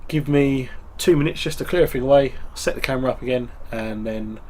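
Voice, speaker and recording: A man's voice: mid-range voice, quick pace. A man with a cold and a stuffy nose, hosting a wargaming hobby channel and chatting casually with lots of ums. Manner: relaxed, rambling, full of ums. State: congested with a cold. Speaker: tabletop wargaming channel host. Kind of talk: casual hobby show-and-tell.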